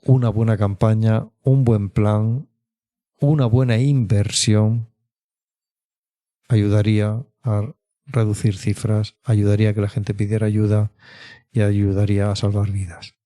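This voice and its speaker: Middle-aged man, low dark voice, expert guest, conversational. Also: flat delivery, measured pace. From Spain. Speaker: mental health expert guest. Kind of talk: conversational interview answers.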